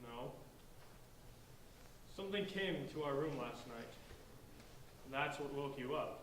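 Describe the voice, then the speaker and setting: A man's voice: mid-range voice, animated, slow, a bit bright, slight background noise. A man acting an uneasy teenage boy who believes in ghosts, in a staged play reading. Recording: echoey room, distant mic.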